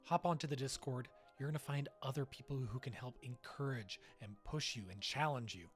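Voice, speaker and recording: A man's voice: mid-range voice, fairly quick, soft background music. A man hosting a special and reading scripted narration. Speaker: host and narrator of an anniversary special.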